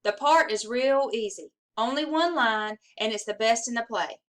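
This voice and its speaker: Woman as an eager, coaxing schoolboy, high voice. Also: normal speed, natural voice. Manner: coaxing, emphatic. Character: earnest young schoolboy, persuasive and eager.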